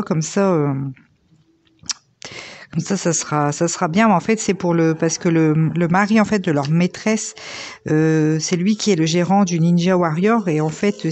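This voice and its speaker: A slightly hoarse woman in her thirties, chatting casually with lots of fillers as she works on a diamond painting at home. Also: on the low side, darkish tone. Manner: chatty, rambling, many fillers. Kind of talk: casual chat while crafting.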